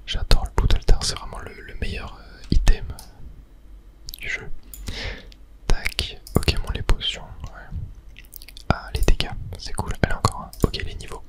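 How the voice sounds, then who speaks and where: A person whispering casual ASMR game commentary, chatting and thinking aloud in a soft, breathy, unvoiced whisper. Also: a little slow. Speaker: gaming video host.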